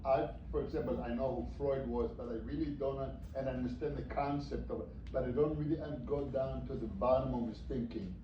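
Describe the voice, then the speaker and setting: A man's voice: medium pitch, fairly even intonation, noisy background. A man in the audience gropes for words, restarting often as he asks his question. He is heard from afar in an echoey space. His voice sounds dull and muffled. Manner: halting, many restarts. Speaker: audience member. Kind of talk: asking a question at a talkback. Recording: echoey, distant, dull room recording.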